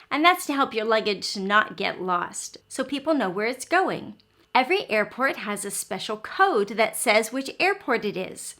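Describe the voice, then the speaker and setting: Female kindergarten phonics teacher and story reader, higher-pitched with lively intonation, simple explanations and lots of questions for little kids. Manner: simple explanations with frequent questions to young children. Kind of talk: children's phonics lesson and read-aloud.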